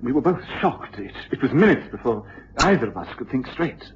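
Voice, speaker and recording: A man plays a flustered but polite college tutor in a radio drama. He speaks formally and hesitantly, with lively movement in pitch. The sound is muffled, with faint noise behind it. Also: a little fast, lightly acted. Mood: formal, earnest.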